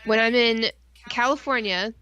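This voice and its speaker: A woman's voice: fairly high pitch, measured pace, sharp tone, lo-fi sound. A woman co-hosting a casual podcast stream, ranting about films with sarcastic mock outrage and plenty of swearing. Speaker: podcast co-host. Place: live online stream.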